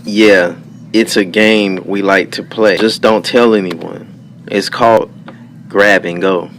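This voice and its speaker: A sly older cousin acted by a man in a narrated story, cool and coaxing. He speaks slowly and low, with faint noise behind. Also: steady tone, a bit bright.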